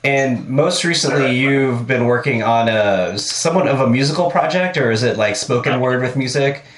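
A man hosting a podcast, asking questions in a halting way with many restarts. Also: mid-range voice, slight background noise. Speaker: podcast host. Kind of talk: casual interview. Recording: echoey room.

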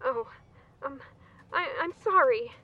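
An actress in an audio drama playing an earnest, reassuring crew member. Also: female voice, high voice, animated, takes it slowly, sharp tone, highs cut off, full character voice. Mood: earnest, gentle.

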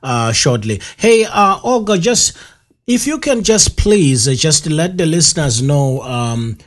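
A man's voice: on the high side, animated, unhurried. A man hosting a live radio interview, rambling with many fillers and repeating himself. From South Africa. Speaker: radio show host.

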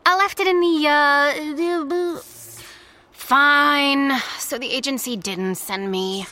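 A woman playing a brash, excitable teenage girl, a shapeshifting sidekick in an audiobook drama, cheeky and casual. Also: sits high, takes it slowly, heavily acted. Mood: fawning. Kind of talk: full-cast audiobook drama.

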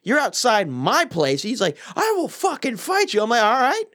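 Animated man with a lively voice. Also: very high-pitched, moderate pace. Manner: animated, swearing, laughs often. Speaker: podcast co-host. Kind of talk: casual banter, storytelling.

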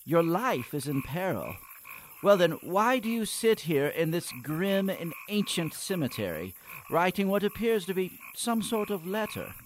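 A gloomy, self-pitying young schemer for a radio play. The voice is fairly high and very animated, theatrical and mournful, with some noise behind it. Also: male voice, measured pace. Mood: dramatic surprise. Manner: theatrical, mournful, falsely innocent. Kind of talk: comic radio play acting.

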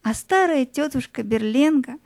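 A woman narrating a scripted fairy tale as an expressive storyteller. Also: high voice, moderate pace, no room sound, studio quality.

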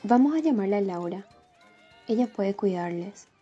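Mellow young mother, dull recording. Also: medium pitch, animated, normal speed, husky, faint music behind. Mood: friendly. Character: young wife and mother, friendly, practical. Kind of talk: radio drama acting.

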